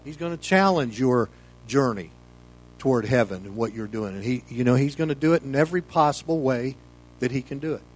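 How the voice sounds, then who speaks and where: A man's voice: mid-range voice, a little fast, a little hiss or hum. A middle-aged or older American man giving an informal sermon as a pastor, in a folksy, rambling, conversational way full of "you know.". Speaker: pastor leading a Bible study.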